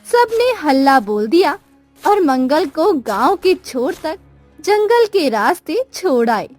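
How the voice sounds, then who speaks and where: A woman's voice: sits high, sing-song, slow, a little mellow, no room sound, faint music behind. A woman narrating a scripted cartoon moral story.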